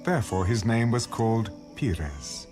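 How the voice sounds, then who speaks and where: A man's voice: fairly low pitch, animated, moderate pace. A man narrating a dramatized audio Bible in a storytelling style, with music underneath.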